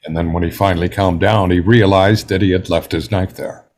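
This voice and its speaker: A man's voice: low-pitched, fairly even intonation, brisk, darkish tone. A man playing a stern, logical, humorless juror with a matter-of-fact manner at a dramatic table read.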